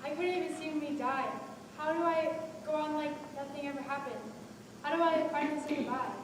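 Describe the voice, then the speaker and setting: Woman acting a high-voiced, worried very old lady. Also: fairly even intonation, brisk, close to an everyday voice. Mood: fearful, grieving. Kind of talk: school one-act play acting. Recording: echoey hall from far off, faint noise.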